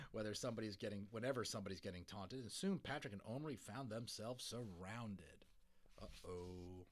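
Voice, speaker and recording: A man narrating a children's audiobook in an expressive, dramatic storytelling manner. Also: mid-range voice, no echo. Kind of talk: dramatic children's story reading with informal asides.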